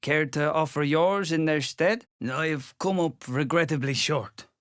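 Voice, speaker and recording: A man's voice: on the high side, unhurried, a bit bright, dry recording, clean studio sound. A man voicing a weary, scholarly dwarf stonemason in a video game, courteous and curious, with an ornate, musing way of talking. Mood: courteous, intrigued. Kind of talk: video game character acting.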